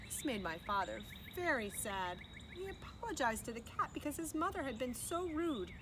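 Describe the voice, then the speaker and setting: A woman reading aloud to children in an expressive storytime style, addressing young listeners. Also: high-pitched, moderate pace, noisy background. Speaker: storytime reader. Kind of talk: animated children's read-aloud narration.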